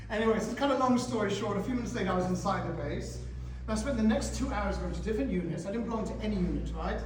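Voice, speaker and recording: A man in his forties giving a talk to teenagers in a school hall, animated and questioning the audience. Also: sits high, a little hiss or hum. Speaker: guest speaker. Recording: echoey hall, speaker far from mic.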